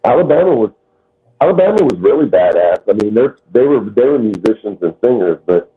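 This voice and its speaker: A man's voice: lowish voice, very animated, a little fast, breathy edge. A man in his late forties chatting casually as host, laid-back and swearing now and then. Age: 40s. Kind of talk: casual chat. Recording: tinny phone-like line.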